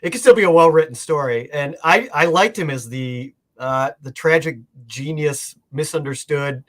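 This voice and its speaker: A man's voice: on the high side, normal speed. A man chatting casually as a guest collector, explaining things informally and thinking aloud. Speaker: guest comic art collector.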